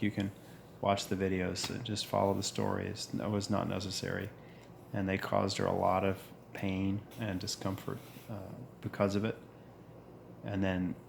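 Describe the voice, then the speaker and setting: A man in his forties with a deep voice, talking earnestly in a reflective monologue, pausing and restarting as he goes. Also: creaky, slight background noise. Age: 40s. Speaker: vlogger.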